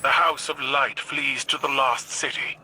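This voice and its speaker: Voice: mid-range voice, steady tone, measured pace, lightly acted, rough recording. A performer playing a proud, regal alien warrior empress in a video game, speaking in a stately, formal, grave manner. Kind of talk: dramatic video game voice acting.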